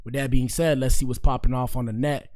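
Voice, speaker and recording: A young man with a hoarse, worn voice hosts a loose livestream podcast. He rambles in slang at a middling pitch and talks to his viewers like friends. He grew up in Miami-Dade County. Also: fairly even intonation, brisk, a little mellow. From Miami-Dade County, Florida. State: hoarse, has partly lost his voice. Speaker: podcast host. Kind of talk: casual livestream commentary.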